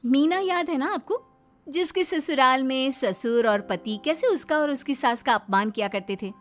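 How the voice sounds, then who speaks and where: A woman narrating a family story in an expressive, dramatized storytelling style. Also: high-pitched, moderate pace, faint music behind.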